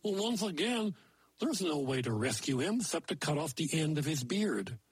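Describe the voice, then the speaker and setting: A man's voice: a little high, sing-song, moderate pace, husky, no room sound, heavily acted. A man voicing a folksy male moose who tells a fairy tale in playful, mangled grammar with made-up words. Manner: playful, invents silly word forms. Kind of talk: oral folktale telling.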